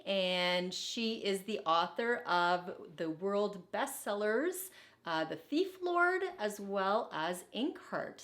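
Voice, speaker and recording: A woman teacher reading a children's storybook aloud in an expressive, deliberate way, with brief teaching asides. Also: medium pitch. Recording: echoey, reverberant room.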